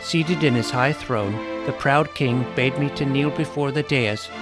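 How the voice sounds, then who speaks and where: Clear music runs under a man as an earnest young hero telling his fantasy adventure. The narration is scripted, first-person and measured, in an audio drama storybook manner with a mid-pitched voice. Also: lightly acted. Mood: wry, settled. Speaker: narrator and lead character.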